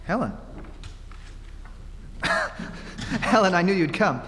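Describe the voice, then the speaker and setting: A man's voice: sits high, very animated, a little slow, a bit bright, exaggerated voice. A man playing a desperate, haunted fugitive in old-time radio horror, melodramatic and stammering, recorded on stage in a hollow, hissy hall. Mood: agitated, pleading.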